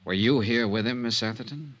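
An actor playing a cool, wry American investigator in a 1950s radio detective serial, understated and conversational. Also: male voice, mid-range voice, fairly quick, a bit bright, highs cut off, natural voice. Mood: probing, measured. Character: cool, wry hard-boiled male investigator.

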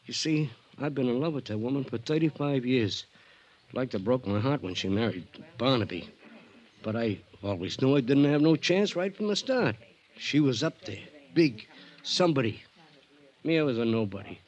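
An actor playing a loyal, earnest, middle-aged small-time ex-crook in an old time radio serial, talking in halting, uneducated street speech. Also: male voice, medium pitch, expressive, darkish tone, highs cut off, lightly acted. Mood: pleading, earnest.

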